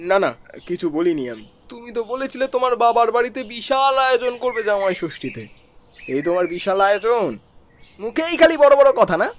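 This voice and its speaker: Casting: scornful young cartoon son-in-law, sneering and hard to please, notably high, lively-pitched delivery. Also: male voice, a little fast, slight background noise. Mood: grumbling scorn. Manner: sneering, condescending. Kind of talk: Bengali cartoon voice acting.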